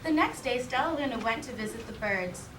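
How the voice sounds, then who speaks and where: A woman teacher reading a picture book aloud expressively to a class, in an animated way. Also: fairly high pitch, fairly quick. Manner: animated, acts out character dialogue. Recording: roomy, distant mic with background noise.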